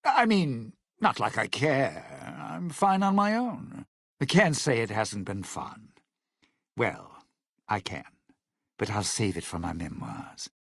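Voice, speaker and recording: An actor playing a smug, suspicious old lounge singer and thief in a video game, with a raspy, gravelly voice and a sardonic showman's delivery. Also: mid-range voice, very animated, takes it slowly, no room sound, clean studio sound, exaggerated voice. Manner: sardonic, slangy showman's patter. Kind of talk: in-game character dialogue and epilogue narration.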